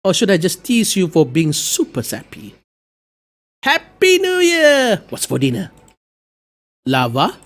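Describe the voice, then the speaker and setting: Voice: medium pitch, huge pitch swings, unhurried, pauses often. A reader voicing a brash, playful woman who loves film, teasing and theatrical, in game dialogue. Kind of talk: dramatic reading of game dialogue.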